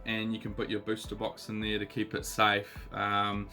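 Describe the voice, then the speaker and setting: Husky New Zealand man, bright low voice. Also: completely flat delivery, normal speed, faint music behind. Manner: chatty, lots of ums and uhs. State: husky, recovering voice. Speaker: card collecting channel host. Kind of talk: casual unboxing chat.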